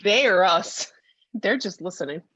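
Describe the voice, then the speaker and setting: A woman with a mid-pitched voice banters casually as a podcast co-host. She is playful and teasing and likes to repeat a phrase for emphasis. The recording is close and dry, a little dull in tone. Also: expressive, unhurried, fairly bright tone, husky, no room sound. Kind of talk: casual comedic chat.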